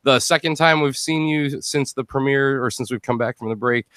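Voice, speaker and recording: A man hosting a live post-show chat with an emphatic, excitable delivery. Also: medium pitch, normal speed, no echo, clean studio sound. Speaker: host.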